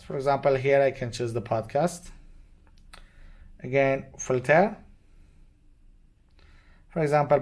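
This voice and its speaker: A man who is not a native English speaker gives an unscripted step-by-step product tutorial in short, simple, halting phrases. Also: mid-range voice, darkish tone. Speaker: product demonstrator. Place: indoors at a demo bench with devices.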